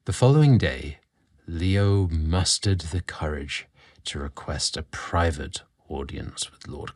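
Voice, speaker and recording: Low-voiced man narrating a romance. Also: darkish tone. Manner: dramatic, deliberate, earnest. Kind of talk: scripted romantic story narration.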